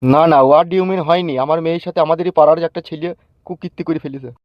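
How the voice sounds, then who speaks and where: A man's voice: a little high, steady tone, fast talker, highs cut off, slight background noise, natural voice. A man voicing an aggrieved middle-aged village father in a comic cartoon, formal and earnest, with a West Bengal accent. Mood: deferential.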